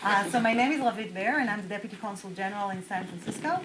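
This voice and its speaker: Loud woman. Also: medium pitch, fast, heavy background noise. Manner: loud, self-assured, posing many questions. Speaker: diplomat on a panel. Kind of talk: panel talk. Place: conference room.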